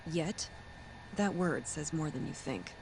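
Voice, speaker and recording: An actress playing a composed, dryly teasing colleague in a crime drama game, speaking in a measured way. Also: female voice, low-pitched, expressive. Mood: dry, knowing. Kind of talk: cinematic video game dialogue. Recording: clear background ambient noise.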